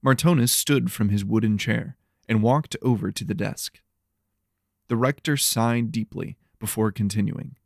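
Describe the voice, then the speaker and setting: A man narrating a scripted fantasy horror story in a measured, serious storytelling manner. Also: on the low side, vocal fry, darkish tone, no room sound, studio quality.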